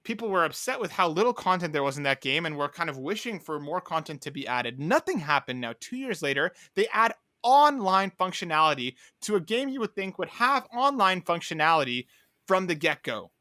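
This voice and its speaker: Bright-voiced man hosting a podcast. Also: on the high side, very animated, brisk, no room sound, studio quality. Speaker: podcast host. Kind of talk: casual gaming podcast chat.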